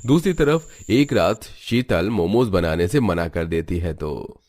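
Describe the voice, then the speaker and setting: Low-pitched man narrating a cartoon story. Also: lively intonation, a little slow, a little mellow.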